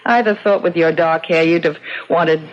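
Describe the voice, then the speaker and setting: An actress playing a resolute, wryly amused middle-aged widow in a 1970s radio drama. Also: female voice, fairly low pitch, unhurried, natural voice. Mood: brisk, pleased. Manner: decisive, wry. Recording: dull old radio broadcast.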